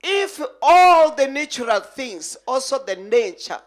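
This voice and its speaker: A woman pastor preaching fervently into a handheld microphone at a large outdoor crusade, pausing line by line for an interpreter and rising to shouts. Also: sits high, big pitch swings.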